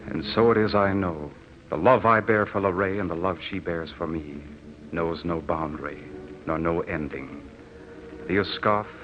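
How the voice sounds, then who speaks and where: Man acting a deep-voiced, haunted young lover. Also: a little hiss or hum, lightly acted. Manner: solemn, poetic, impassioned. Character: grieving young male war veteran, devoted, haunted romantic. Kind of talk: 1940s radio drama acting. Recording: muffled old radio recording.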